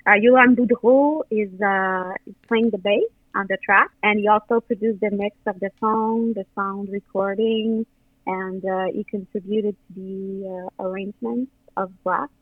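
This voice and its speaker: A woman's voice: mid-range voice, steady tone, unhurried, no echo. A woman in her thirties whose first language is French, speaking English with a Quebec French accent, hesitant and full of fillers and restarts as an interview guest. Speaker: guest musician. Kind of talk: casual interview over the phone. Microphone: phone. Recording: tinny dry phone line.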